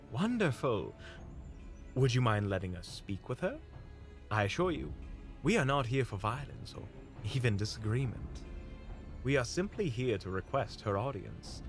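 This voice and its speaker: A man playing a courteous, smooth-talking monster hunter in a fantasy audio drama, with formal, flowery politeness. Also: medium pitch, sing-song, unhurried, soft background music. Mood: courteous, persuasive. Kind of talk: fantasy audio roleplay acting.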